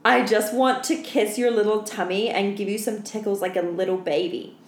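A woman's voice: mid-range voice, steady tone, normal speed, natural voice. A woman reading out text messages as a cringey, volatile boyfriend who swings between baby talk and insults. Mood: cloying, babyish. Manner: babyish pet names mixed with crude insults. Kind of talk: dramatic read-out of text messages. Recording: roomy echo, clear background noise.

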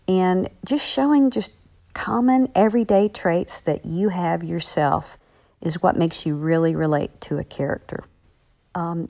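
Encouraging woman author, medium-pitched. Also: a little mellow. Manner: encouraging, chatty. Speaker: author answering listener letters. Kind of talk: friendly listener question segment.

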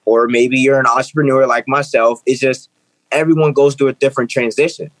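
A man's voice: medium pitch, steady tone, brisk, no echo, low-quality recording. A man in his twenties, a guest author and speaker, talking earnestly in an interview and often repeating phrases as he builds a point. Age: 20s. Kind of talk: interview conversation.

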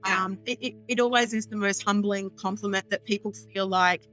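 A woman guest on a podcast, chatting casually in a smooth, high-pitched voice and cackling as she laughs. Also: fairly even intonation, a little fast, fairly bright tone, soft background music, rough recording. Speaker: astrologer guest. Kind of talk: casual interview chat.

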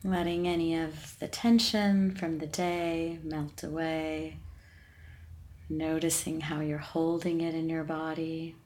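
A woman leading a guided meditation in a calm, soothing, unhurried way, leaving long pauses between gentle instructions. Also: low-pitched. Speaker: meditation podcast host and guide.

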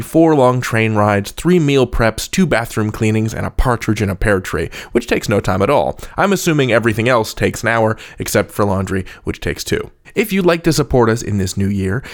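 A man hosting a podcast from a script, playful, wry and a little theatrical. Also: mid-range voice. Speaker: podcast host.

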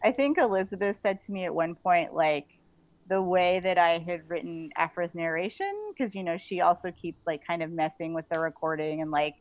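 A woman being interviewed on a casual podcast, telling stories in an animated way and acting out bits of dialogue. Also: fairly low pitch, fairly quick, dry recording, phone-quality sound. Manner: animated storytelling, quotes imagined dialogue, giggly. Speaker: author, interview guest.

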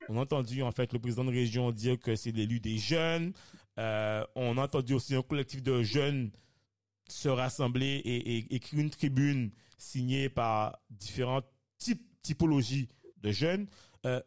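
A man in his thirties hosting a casual discussion podcast, animated and given to repeating words and restarting. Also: mid-range voice, normal speed. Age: 30s. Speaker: podcast host.

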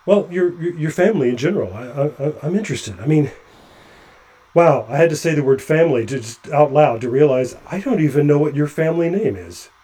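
An actor playing a nervous, chatty male doctor climbing along a ship's hull, halting with stammering restarts. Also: fairly high pitch, animated, mellow. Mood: anxious. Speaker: physician on a spacewalk. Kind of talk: audio drama acting.

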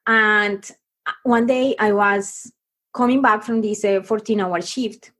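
A middle-aged woman from Colombia, telling her life story as a podcast guest in an earnest, halting way with many restarts. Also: medium pitch, fairly even intonation, a bit bright.

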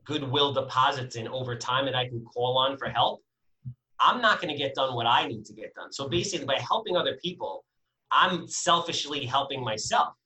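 A man's voice: medium pitch, lively intonation, moderate pace, sharp tone, rough recording. A man of forty, a guest in a casual interview, telling stories candidly and energetically with frequent fillers and the odd swear word. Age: 40s.

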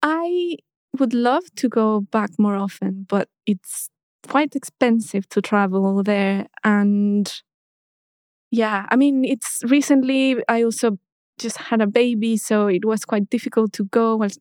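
A woman in her thirties who grew up in Mexico, speaking as an interview guest. Her voice sits a little high. She hesitates and restarts her sentences often, and the recording is dry and close with no room sound. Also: measured pace, a little mellow, dry recording. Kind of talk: casual interview conversation.

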